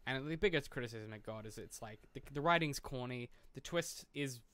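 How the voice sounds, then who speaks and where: A man's voice: mid-range voice, a little fast, a bit bright, no room sound. A man co-hosting a podcast, talking through a film in an informal, explanatory way and swearing freely. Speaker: podcast co-host. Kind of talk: casual film discussion.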